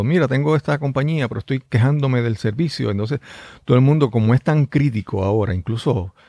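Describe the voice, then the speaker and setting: A man's voice: mid-range voice, dark tone, dry recording. A man with a Puerto Rican accent hosting a conversational interview, working his way wordily toward his questions. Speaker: interviewer, host.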